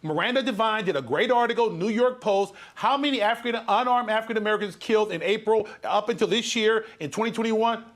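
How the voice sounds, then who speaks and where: A man's voice: sits high, fast, hardly any pauses, fairly bright tone. A man giving emphatic, rhetorical commentary in a heated interview, on a noisy clip.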